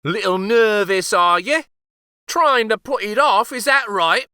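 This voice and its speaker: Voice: medium pitch, expressive, a little slow, sharp tone, smooth, dry recording, studio quality, voice pushed up. A man voicing a cheeky, eager little old man in a storybook, with folksy, teasing questions.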